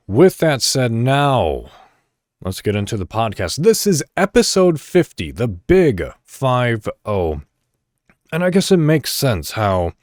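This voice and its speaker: Low-voiced man hosting a solo podcast. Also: no echo, studio quality. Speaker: podcast host. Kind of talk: solo podcast monologue, casual talk to listeners.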